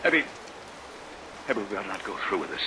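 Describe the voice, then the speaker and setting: An actor playing an uneasy young lab assistant in an old radio horror play, speaking gravely and apprehensively. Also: male voice, low voice, big pitch swings, moderate pace. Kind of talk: old-time radio narration and acting. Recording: vintage radio recording, heavy rain noise.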